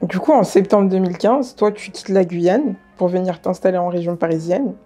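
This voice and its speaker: A woman hosts a casual interview and asks calm, direct questions. Her voice sits a little low, with a dark, mellow tone. Faint music plays beneath her. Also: moderate pace. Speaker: host.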